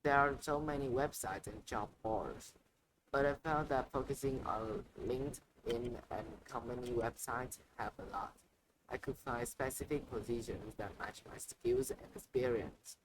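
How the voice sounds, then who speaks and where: A man voicing Mally, an eager guest working in finance who speaks haltingly, with stumbling restarts. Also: medium pitch, natural voice. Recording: noisy ambient background.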